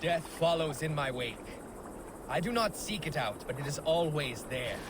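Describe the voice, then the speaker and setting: Man playing a grim Viking over loud water noise. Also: on the high side, animated, moderate pace, lightly acted. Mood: grim, wry. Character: grim male Viking raider with dark humour. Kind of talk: dramatic oral storytelling. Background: boat on water.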